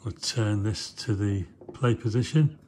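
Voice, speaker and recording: A man giving casual, hands-on commentary in short, unhurried remarks as he works on equipment. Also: fairly low pitch. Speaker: host of a hands-on vintage electronics video. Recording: clear background noise with clicking.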